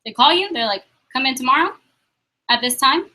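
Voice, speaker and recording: High, bright-voiced woman leading a training. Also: expressive, a little hiss or hum. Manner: upbeat, quizzing the room with quick questions. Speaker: sales office trainer.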